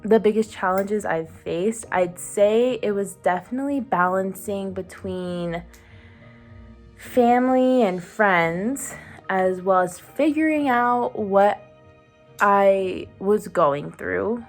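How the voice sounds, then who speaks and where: A woman's voice: medium pitch, slow pace. A nineteen-year-old woman, a nursing student, speaking candidly about her own struggles. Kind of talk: personal testimonial. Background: music.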